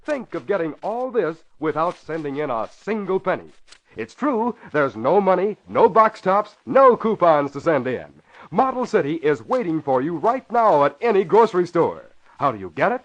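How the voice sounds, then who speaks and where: Male vintage radio announcer, emphatic and excited, high and lively, on a dull old broadcast recording. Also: moderate pace. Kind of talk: 1940s-50s radio announcing and advertising.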